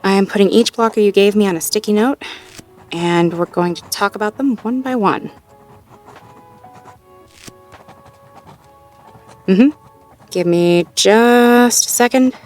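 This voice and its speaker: A woman playing a supportive, playful girlfriend in an intimate one-sided roleplay audio, talking gently and encouragingly with light teasing and natural hesitations. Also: medium pitch, moderate pace, pauses often, faint music behind, natural voice. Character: supportive, playful girlfriend helping partner problem-solve.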